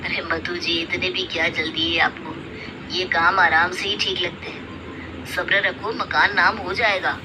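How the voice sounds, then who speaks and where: A woman voicing a patient, unhurried male lawyer in a moral story, formal and placating. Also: on the low side, flat delivery, background noise, voice pushed down, lightly acted. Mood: calm. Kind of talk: Hindi moral story acting.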